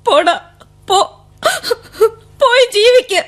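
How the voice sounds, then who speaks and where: Brief: a young woman caught between love and duty, high voice, old radio-drama delivery. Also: slow pace, exaggerated voice. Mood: tearful. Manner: soft and hesitant, turning tearful and scolding. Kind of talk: 1970s radio drama acting.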